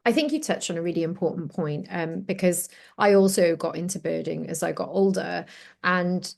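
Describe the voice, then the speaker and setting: A woman's voice: on the low side, normal speed, creaky, a little mellow. A woman from Cardiff with a South Welsh accent, hosting a podcast interview in an effusive, gushing way. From Cardiff, South Wales. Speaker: podcast host.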